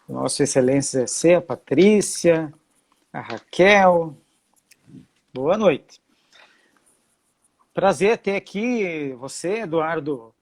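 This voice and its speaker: A middle-aged man with a Santa Catarina Brazilian Portuguese accent hosts a live interview over a video call. His voice sits on the higher side, and his friendly delivery is full of fillers and small hesitations. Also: a little mellow. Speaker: host.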